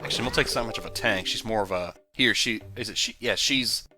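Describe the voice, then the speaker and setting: A man giving casual, jokey, rambling commentary on a video game he is playing, with sudden loud whoops. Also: lowish voice, fast. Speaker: let's play commentator. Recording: game music behind voice.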